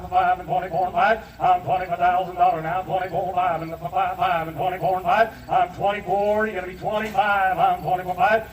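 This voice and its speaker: A man working as an auctioneer, calling bids in a rapid rhythmic chant outdoors, heard from a distance through the camera. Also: sits high. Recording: roomy, distant, light noise.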